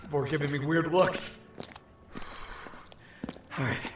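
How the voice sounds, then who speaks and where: An actor voicing an anxious young shapeshifter from another realm in fretful audio drama monologue, muttering to himself. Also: male voice, fairly high pitch, fairly even intonation, slow, roomy sound, background noise. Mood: panicked. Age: young adult.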